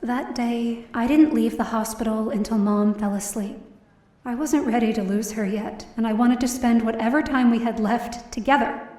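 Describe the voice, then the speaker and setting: A woman's voice: on the high side, moderate pace, darkish tone, close to an everyday voice. A woman playing a weary, worried daughter, narrating her own story in an audio drama in a subdued, reflective way. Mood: reflective, sad. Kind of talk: first-person scripted narration in audio drama. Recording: roomy, distant mic, faint music behind.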